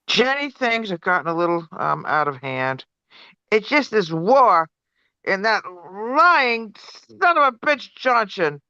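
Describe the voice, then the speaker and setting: Remorseful, heated young activist boyfriend, read by a woman, lively and forceful, table-read drama, muffled sound. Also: mid-range voice, takes it slowly, no room sound, heavily acted. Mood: agitated, pleading. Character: agitated, remorseful young male anti-war activist boyfriend.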